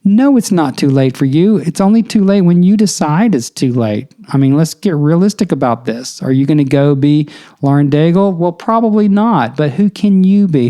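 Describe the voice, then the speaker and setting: Man, middle-aged, podcast host, motivational coaching talk, earnest, rhetorical questions, slightly high voice, mellow tone.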